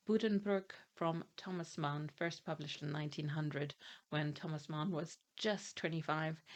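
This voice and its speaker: A middle-aged woman who grew up in Malawi, Nigeria and Germany, presenting her reading plans on her book channel, reading blurbs steadily and adding chatty asides with fillers. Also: sits low.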